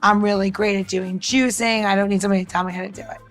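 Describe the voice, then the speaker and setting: A woman's voice: mid-range voice, fairly even intonation, racing, faint music behind. A chatty woman co-hosting a podcast, talking casually and directly to the listener as if demonstrating. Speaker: podcast co-host giving bar tech tips.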